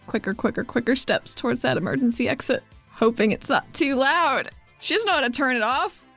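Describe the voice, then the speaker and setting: Female tabletop player, fairly high voice, improvising her character's actions over faint music. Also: expressive, fairly quick, creaky, a bit bright. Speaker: player in a tabletop game show.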